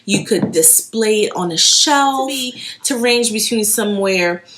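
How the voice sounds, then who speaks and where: A woman's voice: medium pitch, a little slow, fairly bright tone. A woman in her late thirties, originally from Haiti and not a native English speaker, chatting enthusiastically to viewers while showing off her thrift store finds. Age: 30s. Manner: chatty, enthusiastic, addresses viewers as you guys. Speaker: home decor vlogger. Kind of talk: casual thrift haul show-and-tell.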